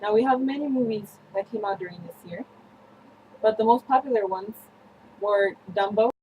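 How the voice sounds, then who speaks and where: A young woman presenting a scripted student newscast, reading haltingly with slips and self-corrections. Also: mid-range voice, phone-quality sound, slight background noise. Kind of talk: scripted news-style narration for a history project.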